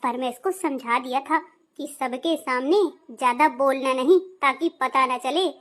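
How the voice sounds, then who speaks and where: A woman's voice: sits high, unhurried, fairly bright tone. A woman voicing an anxious, fussy mother-in-law in a comic family cartoon skit, defensive and easily exasperated. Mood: worried.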